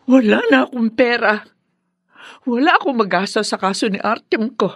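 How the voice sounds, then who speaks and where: Bitter older villainess, high rasping voice, seething, desperate, radio melodrama. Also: female voice, normal speed, no echo. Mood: furious. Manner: seething, ranting. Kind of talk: melodramatic Filipino radio drama acting.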